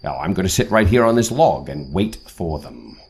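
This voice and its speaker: An actor playing a self-assured, punctual leader of secret agents in an audio drama, formal and commanding. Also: male voice, low voice, sing-song, moderate pace, creaky, husky, heavily acted.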